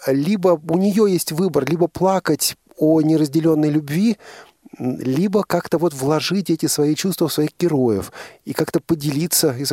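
A man's voice: a little high, a little mellow, husky, dry recording, clean studio sound. A man hosting a conversational radio interview, talking in a discursive, opinionated way and qualifying his own remarks. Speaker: radio host. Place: radio studio.

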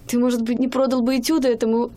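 An actress playing a loving, stubborn young wife in a radio play, chatty and pleading. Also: female voice, a little high, level tone, very fast, mellow, natural voice. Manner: chatty, pleading, sometimes hesitant. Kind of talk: radio drama acting.

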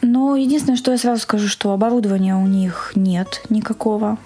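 A woman's voice: medium pitch, fairly even intonation, brisk, warm tone. A woman speaking as a talkative guest in a conversational radio interview, explaining things in detail.

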